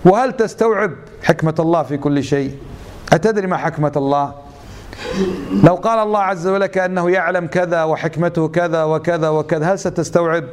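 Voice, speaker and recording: A man's voice: a little high, a little fast. Man teaching a religious lesson. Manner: rhetorical questions, mixes dialect with formal Arabic. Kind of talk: religious lesson to a gathered audience.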